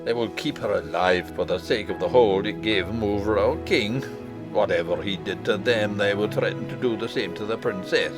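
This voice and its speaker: A man's voice: fairly low pitch, moderate pace, close to an everyday voice. Man as a sober miner father over music. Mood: grave.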